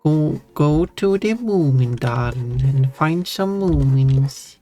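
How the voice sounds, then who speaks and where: Streamer, relaxed unhurried delivery, self-correcting chatter, faint music bed. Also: medium pitch. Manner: rambling, self-correcting, giggly. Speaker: live streamer. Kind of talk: casual live-stream chat while drawing. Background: faint background music.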